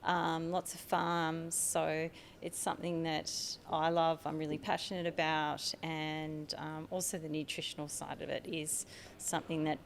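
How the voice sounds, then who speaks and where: Australian woman, middle-aged, earnest podcast guest, lower register, faint hiss behind. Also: level tone, measured pace, vocal fry. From Tasmania, Australia. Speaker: marketing manager guest. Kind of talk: podcast interview.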